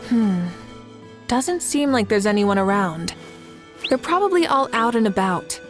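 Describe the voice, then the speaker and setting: A woman's voice: medium pitch, lively intonation, measured pace, natural voice. An actress playing a confident, teasing woman leader in a game, speaking in a dry, self-assured way. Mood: calm. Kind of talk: game dialogue. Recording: faint game music behind.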